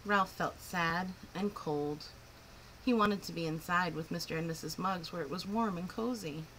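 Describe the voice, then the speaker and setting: A woman reading a picture book aloud to children in an expressive, clearly phrased storytelling style. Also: lowish voice, normal speed, slight background noise, low-quality recording. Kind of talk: animated children's story narration.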